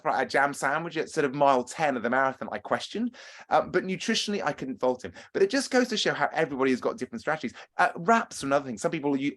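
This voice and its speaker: A man coaching runners in an upbeat, chatty webinar, often asking his audience questions. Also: fairly high pitch. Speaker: running coach. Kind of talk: webinar talk and Q&A.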